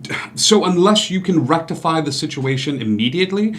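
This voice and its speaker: A man's voice: on the high side, animated, brisk, a bit bright. A man playing a smug, evasive doctor and swindler in a stage musical table read, speaking formally and with cool dismissal. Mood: haughty, commanding. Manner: haughty, formal, coolly dismissive.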